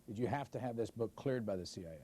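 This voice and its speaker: A male interviewer asks short, direct questions in a lowish, warm, mellow voice. A faint hiss sits under the recording. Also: fast.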